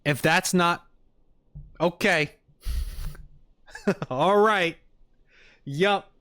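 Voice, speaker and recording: A man reacting casually on a livestream, wry and halting, often repeating his phrases. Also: high voice, sharp tone. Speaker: streamer reacting to game audio.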